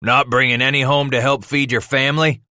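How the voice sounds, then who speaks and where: Man acting a gruff, thundering frontier father. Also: lowish voice, moderate pace, bright, forceful tone, no echo, clean studio sound. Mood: furious, thundering. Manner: thundering, rustic folksy speech. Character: angry domineering frontier father, gruff and stern.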